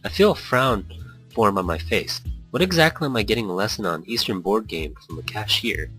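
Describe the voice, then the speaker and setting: A man's voice: lowish voice, animated, brisk, pushed and bright, low-quality recording. A man hosting a let's play, reading visual novel text aloud and drifting into rambling, casual commentary. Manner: rambling asides. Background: background music.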